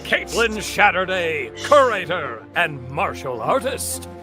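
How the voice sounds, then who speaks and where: A man's voice: high voice, big pitch swings, slow, over music, pitched below the performer's own voice, full character voice. A man playing a theatrical cartoon announcer, reading scripted narration with grand, dramatic delivery. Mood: grandiose.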